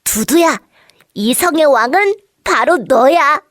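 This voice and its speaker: High-pitched, excitable little storybook boy. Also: slow pace, no echo, heavily acted. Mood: playful. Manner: childlike, excitable. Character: cheerful curious little boy character in a picture-book story. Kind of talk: children's storybook character acting.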